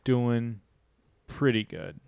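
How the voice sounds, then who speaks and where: A young man hosts a casual podcast, holding a handheld mic. His voice is gravelly but smooth, and the sound is dry and close. He rambles, restarts and swears casually as he addresses the audience. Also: mid-range voice, extremely slow, darkish tone, no echo. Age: young adult. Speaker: podcast host. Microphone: handheld mic with pop filter.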